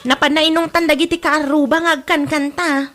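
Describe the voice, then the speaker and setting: A woman's voice: sits high, flat delivery, moderate pace, fairly bright tone, soft background music. A woman with an Ilocano accent voicing a cheeky young child in a comedy audio drama. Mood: tattling.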